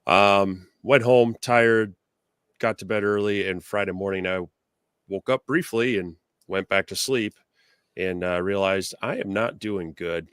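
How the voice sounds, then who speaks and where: A hoarse man co-hosting a live stream, rambling with lots of fillers over a video call. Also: on the low side, a little slow, fairly bright tone, dry recording, studio quality. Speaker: co-host. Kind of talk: casual live-stream chat.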